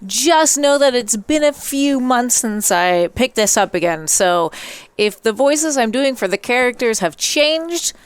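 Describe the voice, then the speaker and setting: Chatty, clear-voiced woman doing gameplay commentary. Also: fairly high pitch, animated, unhurried, no echo. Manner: chatty, reacting aloud, playful. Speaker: let's play host.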